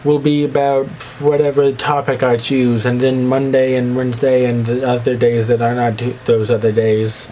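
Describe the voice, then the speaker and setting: A man's voice: level tone, normal speed, hardly any pauses, warm tone, poor audio. A young man with a slight lisp rambles to camera in a loose vlog, jumping between topics and mocking himself. His voice sits in an ordinary middle register, with a faint hiss behind it. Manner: rambling, self-mocking, breaks into squeaky put-on voices. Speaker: vlogger. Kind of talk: rambling casual monologue to camera.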